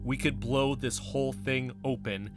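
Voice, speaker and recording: A man's voice: mid-range voice, fairly even intonation, a little slow, faint music behind, lightly acted. A man acting as an insistent, stubborn conspiracy theorist in an audio drama, speaking with emphatic conviction. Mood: fervent, urgent. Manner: emphatic, insistent, building speeches. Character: impassioned male conspiracy theorist, defensive and stubborn. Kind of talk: scripted audio fiction dialogue.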